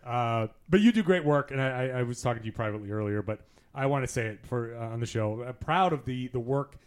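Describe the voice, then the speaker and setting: A man hosting a podcast, talking in an easy, chatty way at a middle pitch. He laughs easily and often starts a sentence over. It is a relaxed in-person conversation. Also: fast, clear, resonant tone. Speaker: podcast host. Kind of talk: casual podcast chat. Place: in-person recording in Las Vegas.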